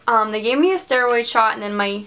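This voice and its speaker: A woman's voice: on the high side, lively intonation, a little fast, bright, forceful tone. A teenage girl telling a personal story in a casual vlog, chatty and filling her sentences with "like". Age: teens. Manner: chatty, heavy use of "like". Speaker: vlogger.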